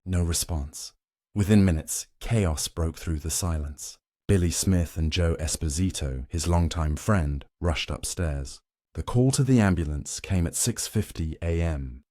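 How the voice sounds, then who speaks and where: A man's voice: low voice, warm tone, no echo, studio quality. A man narrating a scripted dramatic story in a solemn, suspenseful way, in short weighted phrases.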